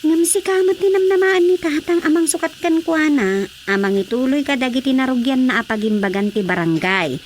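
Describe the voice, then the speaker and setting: A woman playing a high-voiced earnest daughter. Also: a little fast, a little hiss or hum, natural voice. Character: young woman, devoted daughter. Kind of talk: Ilocano radio drama acting.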